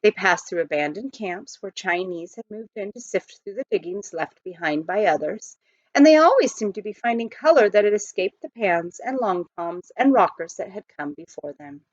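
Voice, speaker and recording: Woman, expressive storybook read-aloud, lively pitch, dry, lo-fi sound. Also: moderate pace, no echo. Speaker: audiobook reader, teacher. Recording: dull lo-fi close recording.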